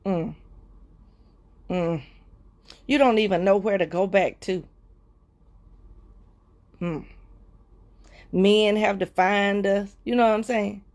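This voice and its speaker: A woman hosting a livestream, sharing a heartfelt personal testimony with viewers in a repetitive, preacher-like cadence, often slowing down and dropping to soft murmurs. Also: medium pitch, fairly even intonation. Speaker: livestream host.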